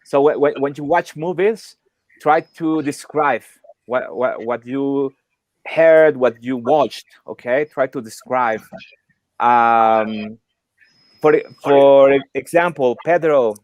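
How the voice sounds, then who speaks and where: A man's voice: mid-range voice, takes it slowly, a little mellow, dry recording, rough recording. Man coaching English learners, dry sound. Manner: encouraging, often checks with "okay?" and "right?". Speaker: English exam coach, workshop presenter. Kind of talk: informal online lesson. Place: online video call.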